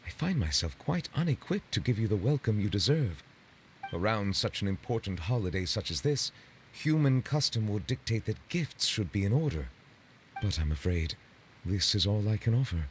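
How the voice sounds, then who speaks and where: An actor playing a courteous, solemn dignitary in a video game, speaking in formal, measured, ornate phrases. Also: male voice, lowish voice, sing-song, slight background noise. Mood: earnest, reflective. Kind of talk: video game dialogue acting.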